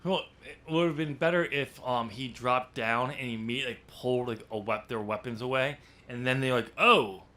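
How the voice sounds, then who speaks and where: Man rambling haltingly in casual talk. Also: mid-range voice, sharp tone. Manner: halting, rambling, thinking aloud. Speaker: podcast host and amateur novelist. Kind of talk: casual, unscripted chapter discussion.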